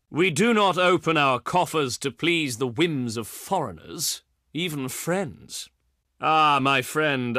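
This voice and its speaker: A haughty ancient Greek envoy from a strategy game, in a fairly high male voice that swings theatrically in pitch. He speaks slowly and grandly, with courtly pauses and a wry, pompous edge. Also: a bit bright, dry recording, clean studio sound. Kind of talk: theatrical scripted game dialogue.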